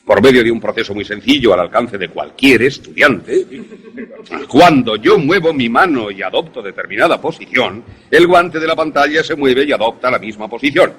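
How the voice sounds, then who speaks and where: A man acting an enthusiastic professor in a radio drama, lecturing in a deliberate, didactic, theatrical way. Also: mid-range voice, animated, a bit bright, slightly rough. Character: enthusiastic male professor demonstrating an invention to students.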